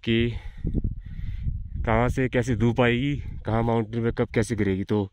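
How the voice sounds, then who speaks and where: A man's voice: medium pitch, steady tone, a little slow, a little hiss or hum. Young man, travel vlogger, outdoors, camera mic, chatty, talks to viewers. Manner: chatty, addresses viewers directly. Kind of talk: casual vlog narration. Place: outdoors on a mountain at dawn.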